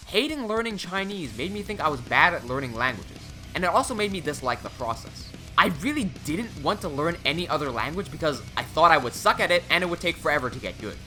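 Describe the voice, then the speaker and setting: A man's voice: fairly high pitch, pushed and bright. A young man whose first languages are English and Cantonese, talking candidly and self-mockingly in a casual vlog, recorded in a small room. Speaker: language-learning YouTuber.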